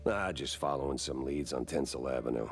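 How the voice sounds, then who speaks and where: An actor playing a world-weary, wisecracking mouse private detective in a 1930s noir cartoon game, gruff and deadpan. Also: male voice, rumbling low, fairly quick, soft background music. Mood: dry, weary. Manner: deadpan, sardonic.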